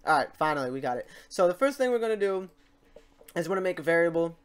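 Casual, halting male tutorial host. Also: on the high side, big pitch swings, smooth. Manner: informal, thinking aloud, halting. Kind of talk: casual screen-recorded software tutorial. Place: indoors at a computer, keyboard typing behind.

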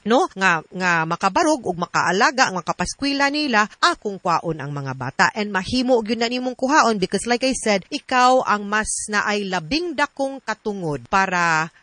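A woman's voice: medium pitch, animated, normal speed, bright, forceful tone, dry recording. A woman with a Cebuano Filipino accent giving explanatory legal advice as a radio host, switching between Cebuano and English. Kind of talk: legal advice on a radio call-in drama program.

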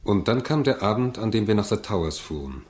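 A man's voice: lowish voice, lively intonation, fairly quick, darkish tone, lightly acted. A man playing a composed law-office worker who narrates and acts in a scripted crime radio play. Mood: sober, suspenseful. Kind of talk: scripted dramatic narration and dialogue.

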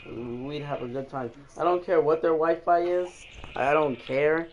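A man's voice: a little high, a little slow, slight background noise. Boastful gamer guy, muffled chat. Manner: boastful, playful trash talk. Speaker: gamer chatting in a party while waiting for a match. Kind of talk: casual party chat. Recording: muffled lo-fi game chat audio.

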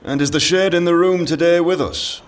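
An actor playing a wry, unflappable judge in an audio drama, dryly courteous with muttered asides. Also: male voice, a little high, fairly even intonation, moderate pace, crowd noise, lightly acted.

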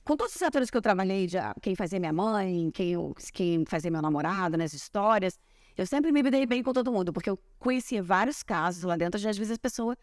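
A woman in her forties, an actress from Brazil, telling stories in a relaxed chat on a sofa in a bright living room. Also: medium pitch, fairly quick, no room sound, clean studio sound. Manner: chatty, animated storytelling with hand gestures. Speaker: actress and guest. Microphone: small clip-on mic on collar.

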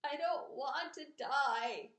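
Storybook piglet, male, childlike and hysterical, high tearful pleading, performed by a woman; roomy sound. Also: drawn-out words. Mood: wailing despair. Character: young male pig, naive, panicky, tearful. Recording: echoey room.

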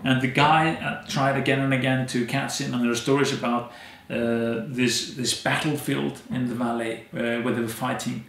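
Gravelly Icelandic man telling stories. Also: medium pitch, flat delivery, normal speed, a bit bright, reverberant. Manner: hesitant, many fillers, gestures while telling stories. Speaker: interview guest. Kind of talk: conversational storytelling. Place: dim indoor room, seated in armchair.

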